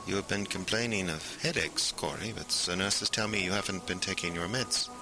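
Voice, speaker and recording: A man's voice: low voice, normal speed, music in the background, low-quality recording. An actor playing a soothing but condescending institutional therapist in an audio drama, coaxing his patient along. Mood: soothing, probing. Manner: coaxing, condescending, tag questions.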